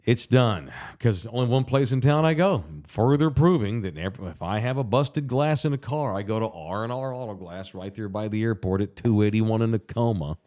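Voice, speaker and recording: A middle-aged man, chatting casually as a podcast co-host, animated and quick to laugh. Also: lowish voice. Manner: animated, laughs often, jokey. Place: sports bar studio.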